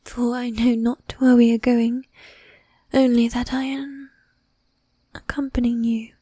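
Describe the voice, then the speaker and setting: ASMR roleplay: actress as possessive, courtly female vampire, high whispery voice, unhurried, very even melody. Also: no echo. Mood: courtly, coy. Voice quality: breathy, whispery. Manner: formal, archaic diction, slow and deliberate. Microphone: close microphone, dry.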